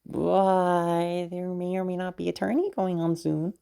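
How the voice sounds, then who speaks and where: Low, creaky young noblewoman mage, acted by a woman. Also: steady tone, warm tone. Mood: playful, affectionate. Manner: halting, stammering, giggly, mild swearing. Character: flustered, affectionate young noblewoman mage, protective of her knight. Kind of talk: intimate roleplay audio drama.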